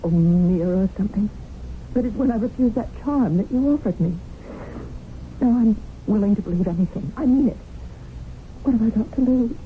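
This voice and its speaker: A woman's voice: mid-range voice, lively intonation, normal speed, pauses often, natural voice. Actress as earnest, flustered English lady for radio drama, emotional swings, hesitant, narrow vintage sound. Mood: miserable, pleading. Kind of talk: 1970s radio drama acting. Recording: noisy narrow-band old radio broadcast.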